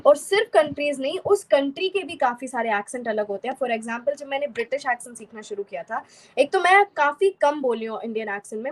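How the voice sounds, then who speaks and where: A young woman from Haryana, explaining fluently as an interview guest and mixing in many English words. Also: fairly high pitch. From Haryana, India. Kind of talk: casual interview conversation.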